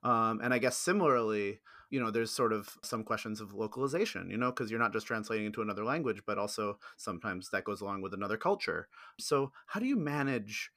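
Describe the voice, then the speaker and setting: A man co-hosting a podcast, asking a guest thoughtful questions in a casual, measured way. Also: lowish voice, lively intonation, sharp tone. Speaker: podcast co-host.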